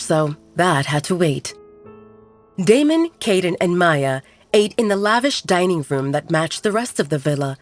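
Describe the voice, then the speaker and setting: A woman narrating a scripted romance audio drama as an audiobook storyteller, with music behind her. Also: low-pitched. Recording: music bed under the voice. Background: background music.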